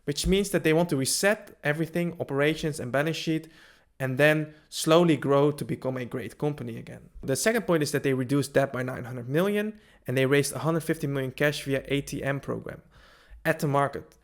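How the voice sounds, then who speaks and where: A man's voice: fairly high pitch, a little fast. A man hosting a financial analysis video, explaining points in plain, step-by-step fashion. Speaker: host of a stock analysis video.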